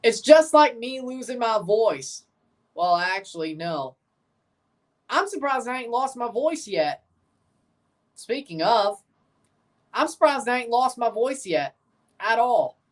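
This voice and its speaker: A woman's voice: fairly high pitch, lively intonation, takes it slowly, pauses often. A woman hosting a livestream watchalong, giving casual live football commentary and chatting with viewers, often repeating and correcting herself.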